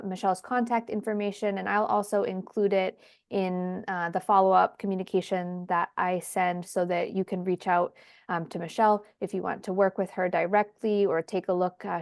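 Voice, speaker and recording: A woman's voice: medium pitch, dry recording, clean studio sound. A woman hosting an online question-and-answer webinar, talking in a friendly way with many ums, heard over a video call. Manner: friendly, filler-heavy with frequent ums. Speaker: webinar host, head of community.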